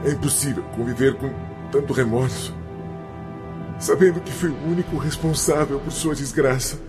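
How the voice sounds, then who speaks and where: A man's voice: mid-range voice, moderate pace, music in the background, close to an everyday voice. A man playing a guilt-ridden spirit in a radio drama, lamenting and begging forgiveness in an imploring, theatrical manner. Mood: anguished longing.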